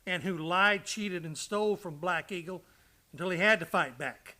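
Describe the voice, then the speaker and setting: Man as high, sharp-voiced frontier husband. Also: moderate pace. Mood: earnest, regretful. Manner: folksy plain speech. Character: earnest, folksy frontier husband, friend of the marshal. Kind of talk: old-time radio western acting.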